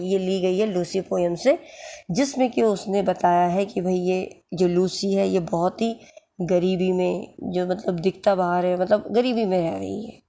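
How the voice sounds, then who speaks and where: A woman with a hoarse throat and an Indian English accent, teaching English literature for exams and explaining each word slowly. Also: mid-range voice, mellow. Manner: slow, explaining word by word, checks understanding. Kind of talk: exam-prep lecture in mixed Hindi and English.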